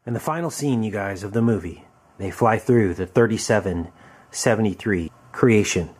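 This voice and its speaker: A man's voice: on the low side, moderate pace, slightly rough. A man giving an earnest explanatory commentary over film clips, addressing his listeners as a host would. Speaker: host and narrator of conspiracy videos. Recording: loud music behind the voice. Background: loud background music.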